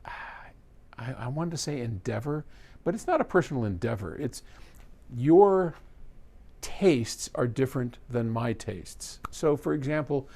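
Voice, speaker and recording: Man, medium-pitched voice, casual video host, off-the-cuff delivery, conversational, wandering into asides. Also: animated, a little slow, pauses often, mellow. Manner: conversational, rambling with self-corrections and asides. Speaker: company video host answering viewer questions. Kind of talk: casual unscripted talk to camera. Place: trade-in equipment warehouse.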